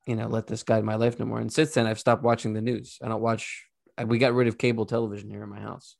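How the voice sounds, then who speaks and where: A man's voice: fairly low pitch, little pitch movement, fast, creaky. A man hosting a podcast in a casual, slangy, informal way, laughing easily as he chats. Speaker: podcast host.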